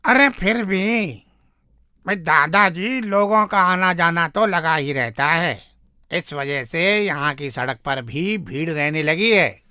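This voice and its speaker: A man's voice: a little high, slow pace, bright, forceful tone, smooth, dry recording, studio quality, full character voice. A man voicing a chatty neighbourhood man in a moral story, emphatic and familiar in how he addresses people. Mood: complaining.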